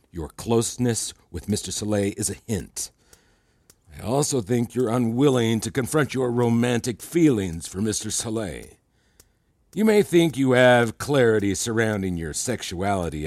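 An actor playing a controlling, self-assured therapist in a fiction podcast, with a patronizing, deliberate, needling manner. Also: male voice, on the low side, a little mellow, no room sound, studio quality.

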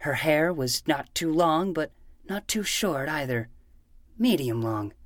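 A woman's voice: low voice, lively intonation, slow, dry recording, clean studio sound. A woman playing an earnest twelve-year-old boy who talks like a grandiose noir detective, sometimes slipping into childish pleading, in a fiction podcast. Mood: brooding noir narration.

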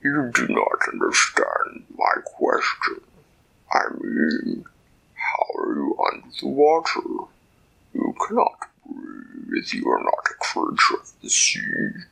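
A man voicing a huge, ancient male kraken that speaks slowly and scornfully in a deep, burbling, breathy voice. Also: huge pitch swings, sharp tone, heavily acted, poor audio. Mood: ominous, scornful. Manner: slow, drawn-out, condescending speech.

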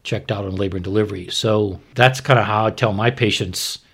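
A man's voice: on the low side, fairly even intonation, a little fast, a bit bright, breathy edge. A man speaking as a doctor, explaining medical practice in an informal, plain-spoken, instructive way. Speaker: obstetrician, expert guest.

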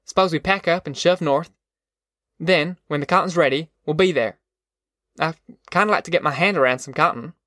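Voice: medium pitch, moderate pace, fairly bright tone, no room sound, studio quality, lightly acted. A narrator voicing a weary, sensible young farm man with plain rustic speech in an audiobook. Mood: easygoing, practical. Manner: folksy rustic dialect, plain-spoken. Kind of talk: audiobook character voice.

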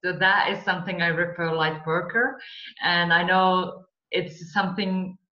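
A woman's voice: fairly low pitch, steady tone, unhurried, pushed and bright, reverberant, highs cut off. A woman from Finland with a Finnish accent, speaking as an interview guest and telling her personal story. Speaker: interview guest, coach and mentor.

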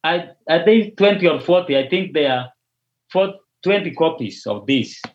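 Kenyan pastor guy, luo is his first language, halting and repeating himself, muffled lo-fi call audio. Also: on the high side, animated. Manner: hesitant, repeats and restarts words. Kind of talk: interview answers over a call. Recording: dull lo-fi audio.

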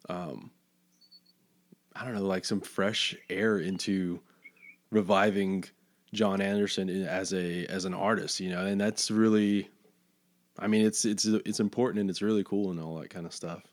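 A man's voice: low-pitched, steady tone, measured pace. A man hosting a podcast interview, asking rambling questions full of hesitations. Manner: hesitant, many fillers and restarts, rambling questions. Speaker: podcast host. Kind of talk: casual interview chat.

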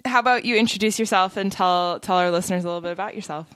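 A woman's voice: medium pitch, rapid-fire, fairly bright tone, dry recording, clean studio sound. A woman hosting a podcast, asking curious questions and laughing often in casual chat. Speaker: podcast host.

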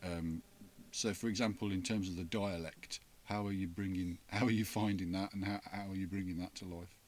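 A man with a fairly low voice hosts an interview with stage actors. His questions run long and chatty. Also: fairly even intonation, brisk.

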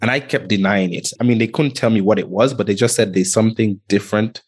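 Young man from Antigua, low voice, reflective, chuckles as he talks. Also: husky. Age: young adult. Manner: reflective, chuckles often, quick restarts. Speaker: guest. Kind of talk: casual interview storytelling.

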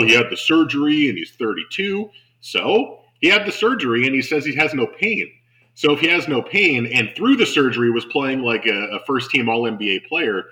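A man's voice: mid-range voice, sharp tone, rough recording. A man hosting a sports show, chatting casually and enthusiastically as he analyses basketball. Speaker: sports podcast host and basketball broadcaster.

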